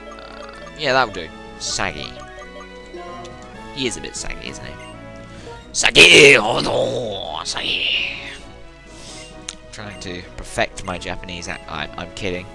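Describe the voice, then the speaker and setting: A man's voice: low-pitched, animated, unhurried, gravelly, pauses often. A young man giving rambling, casual commentary while playing a video game. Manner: chatty, rambling, self-interrupting. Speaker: let's play gamer. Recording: game music loud behind the voice. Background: game music.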